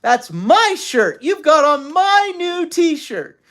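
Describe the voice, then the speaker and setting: A woman voicing a rude storybook father who bickers like a child, loud, gloating and petulant. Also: high-pitched, big pitch swings, takes it slowly, pitched above the performer's own voice, full character voice.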